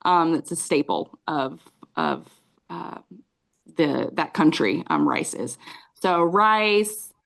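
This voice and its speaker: A woman's voice: deep voice, big pitch swings, takes it slowly, gravelly. A woman in her thirties, a podcast guest, telling her life story in casual chat, with many ums and restarts. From Raleigh, North Carolina; raised in Cambodia.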